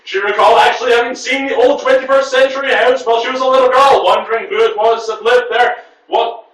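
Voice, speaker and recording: A man with a high, bright voice reads prose aloud to a group. He stumbles, corrects himself and adds exasperated asides. The room is very echoey and he sits back from the microphone. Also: steady tone, quick pace. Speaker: reader at a writers' group reading. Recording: echoey room, distant from the mic.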